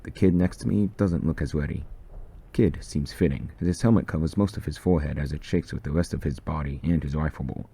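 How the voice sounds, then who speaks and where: A man narrates a short story in the first person with an extremely low voice. It is gravelly and very husky, like a scripted audiobook reading. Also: fairly quick, mellow.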